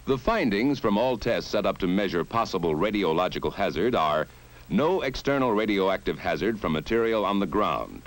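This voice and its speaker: An American man reading scripted narration for a mid-century instructional film in an authoritative, measured, emphatic way. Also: sits low, expressive.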